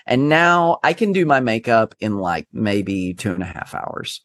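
A man from Texas, a drag performance artist, talking as an interview guest in a rambling, self-deprecating, animated way. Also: fairly low pitch, a little slow, no echo, clean studio sound. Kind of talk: conversational interview.

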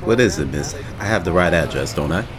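A man's voice: deep voice, expressive, a little fast, a crowd in the background. A man playing a concerned, polite taxi driver in an audio drama. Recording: music under the voice.

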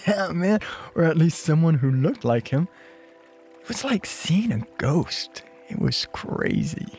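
A man's voice: a little high, huge pitch swings, measured pace, vocal fry, a little mellow, soft background music, heavily acted. A man with an American accent playing an easygoing, eager, friendly old classmate, talking in slangy bro style in an audio drama. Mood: amused, casual. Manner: laid-back slangy bro talk. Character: easygoing, eager, friendly male former high school classmate. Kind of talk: casual, naturalistic audio drama acting.

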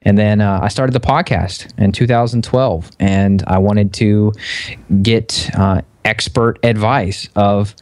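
Young man, 20s, interview guest; easygoing storyteller, lower voice, dry mic. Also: takes it slowly, no echo. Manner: relaxed, conversational, frequent fillers. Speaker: podcast guest. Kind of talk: casual interview chat.

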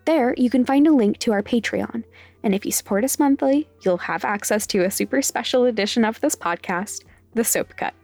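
A woman's voice: on the high side, a little fast, a little mellow, no room sound. A woman reading scripted end credits as the creator of a podcast. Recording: dry studio voice over music. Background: faint music.

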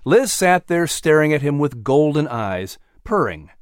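Measured male storyteller, dry sound. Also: fairly high pitch, very animated, smooth, no echo. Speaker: narrator. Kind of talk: scripted audiobook story narration.

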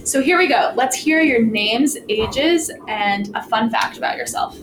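Enthusiastic female podcast host; slightly high voice, roomy recording, music bed. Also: animated, measured pace. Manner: enthusiastic, encouraging. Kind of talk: upbeat podcast hosting.

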